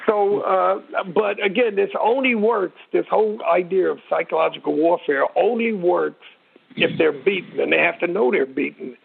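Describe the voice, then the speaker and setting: A man's voice: high-pitched, normal speed, creaky, breathy edge. An older American man explaining things informally as an expert interview guest, blunt and emphatic, in short repeated phrases. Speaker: military expert guest, retired sergeant major. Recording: tinny narrow phone-line radio sound.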